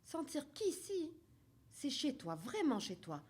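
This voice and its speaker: A woman's voice: sits high, big pitch swings, slow, a little mellow, exaggerated voice. An actress in a staged play reading, playing an earnest, probing immigrant woman from Algeria. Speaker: actor in a public reading.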